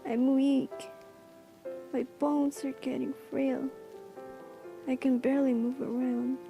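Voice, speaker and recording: A woman's voice: sits high, level tone. A woman playing a frail, loving, dying old mother in an amateur audio drama, speaking tenderly and formally. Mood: weary, fading. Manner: slow, tender, formal phrasing. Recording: music loud behind voice.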